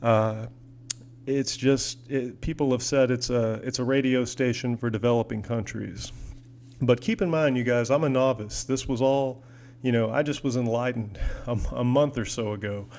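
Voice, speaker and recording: A man's voice: mid-range voice, normal speed, a little mellow. An American man chatting casually as a hobbyist vlogger, rambling along in a folksy, enthusiastic manner. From United States. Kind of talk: casual product chat.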